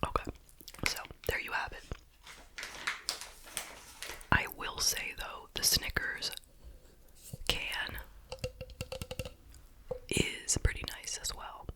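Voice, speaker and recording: A woman speaking in a breathy, unvoiced whisper, chatting and musing through an ASMR food review. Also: slow pace, long pauses. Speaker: host reviewing snacks.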